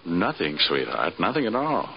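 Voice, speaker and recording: A man's voice: deep voice, wildly swooping pitch, normal speed, vocal fry, heavy background noise, heavily acted. An actor playing a cynical, hardboiled insurance investigator who narrates his case with dry, clipped wisecracks in old radio drama style. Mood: smooth, probing. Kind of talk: 1940s radio detective drama acting, scripted first-person narration. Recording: dull old radio recording with music.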